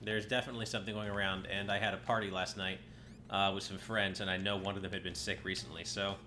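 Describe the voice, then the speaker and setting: A man's voice: low-pitched, bright, forceful tone. Slightly nasal man streaming a game. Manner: chatty, rambling, often breaks off mid-sentence. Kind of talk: casual live-stream gameplay commentary. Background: game music.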